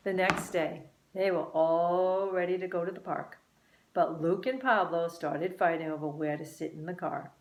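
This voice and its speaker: A woman reading a children's story aloud as scripted narration, expressive and deliberate. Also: fairly low pitch, a little hiss or hum. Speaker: storybook reader. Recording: roomy, echoing sound.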